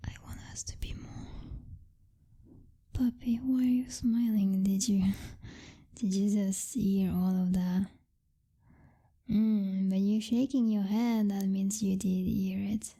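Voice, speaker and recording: A woman playing a possessive, jealous vampire girlfriend who is commanding but secretly tender, in a soft, intimate ASMR whisper close to the microphone. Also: mid-range voice, steady tone, breathy edge. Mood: confiding, tender, then flustered, affectionate. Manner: slow, teasing, domineering, with lingering pauses and hums.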